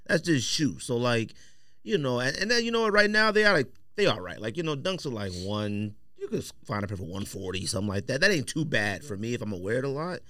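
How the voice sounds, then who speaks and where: A man's voice: medium pitch, sing-song, fast, bright, forceful tone, dry recording, studio quality. A middle-aged American man hosting a podcast, chatting casually in a slangy, opinionated way. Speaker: podcast host. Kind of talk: casual chat about sneakers. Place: podcast studio.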